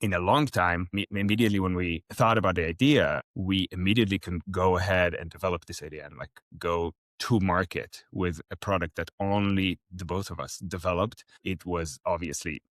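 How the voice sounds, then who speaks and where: A man, a founder talking reflectively as a podcast guest, pausing often with fillers. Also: low-pitched, fairly bright tone, no echo. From Israel. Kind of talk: podcast interview.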